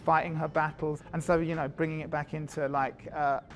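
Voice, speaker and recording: A man's voice: on the high side, fairly quick, soft background music. A man, a fashion creative director, talking casually and at length with many fillers. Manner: chatty, lots of fillers, animated when describing designs. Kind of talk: casual interview talk.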